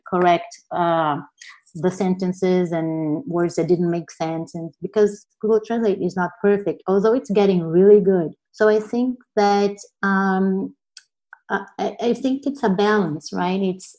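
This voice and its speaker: A woman's voice: on the low side, a little mellow. Earnest woman host, Portuguese first language. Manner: earnest, thinks aloud with repeated words. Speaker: podcast co-host. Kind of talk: casual discussion.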